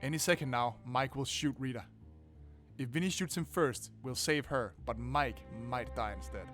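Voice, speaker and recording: A man hosting a game playthrough, reading narration aloud and commenting in a casual, expressive, sweary way. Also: mid-range voice, normal speed. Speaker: gaming video host. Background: faint game music.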